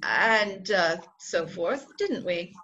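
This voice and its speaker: A woman's voice: lowish voice, animated, slow pace, bright, forceful tone, dull audio, exaggerated voice. A woman playing a flustered, haughty society bride in a radio play, theatrical and exclamatory. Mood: flustered, evasive.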